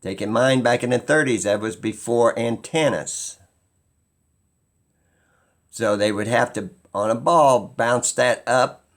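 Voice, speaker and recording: A man talking informally and skeptically as he explains something, halting and pausing mid-sentence as he muses. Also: mid-range voice. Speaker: video host.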